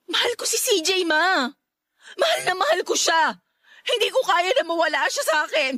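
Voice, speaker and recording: A woman's voice: extremely high pitch, big pitch swings, moderate pace, pushed and bright, no room sound, studio quality, full character voice. A woman playing a lovesick, defiant young adult daughter in a Tagalog radio drama, pleading emotionally with a Filipino accent. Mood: tearful, defiant.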